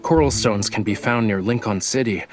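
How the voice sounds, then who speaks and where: A man's voice: fairly low pitch, moderate pace, over music, close to an everyday voice. An actor playing a teasing, smug young male painter in a video game, with a languid, coy manner. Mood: teasing, sly.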